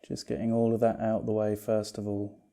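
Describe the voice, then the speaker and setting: A man leading a guided yoga meditation as a teacher, calm and unhurried, speaking in a soothing, gently reassuring way. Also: lowish voice.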